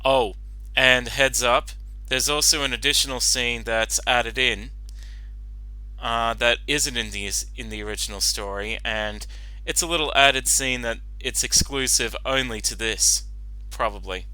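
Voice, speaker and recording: A man giving casual reaction commentary as host, talking in a halting, rambling way with lots of filler phrases. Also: medium pitch, bright, forceful tone, no room sound, poor audio. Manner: halting, rambling, frequent "you know". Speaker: host giving commentary on a fan-fiction reading.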